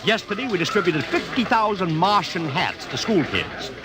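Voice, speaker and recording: Casting: brash American ad promoter, lively swooping pitch, pushy slangy patter, old radio play. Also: male voice. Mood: boastful, knowing. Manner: fast, slangy, persuasive patter. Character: brash, wheedling, cocksure publicity promoter. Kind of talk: 1950s radio drama acting.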